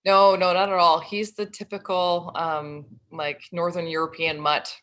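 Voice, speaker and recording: Bright French Canadian woman. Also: lowish voice, level tone, a little slow. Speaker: podcast guest. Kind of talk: casual interview chat.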